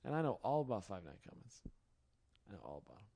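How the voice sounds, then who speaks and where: Hoarse man, tired, joking, lively swinging pitch, home garage. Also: fast talker. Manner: tired, joking, laughs often. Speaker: podcast host. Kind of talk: casual late-night chat.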